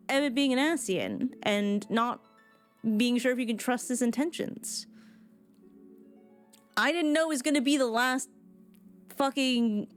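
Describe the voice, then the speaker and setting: A woman game livestreamer chatting informally and casually with her viewers. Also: fairly high pitch.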